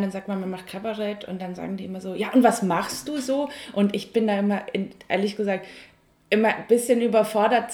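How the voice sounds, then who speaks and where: A woman's voice: mid-range voice, darkish tone. A woman in her thirties from Franconia with a Franconian accent, a cabaret artist answering interview questions in a thoughtful, hesitant way with many fillers and restarts. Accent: Franconian German. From Franconia, Germany.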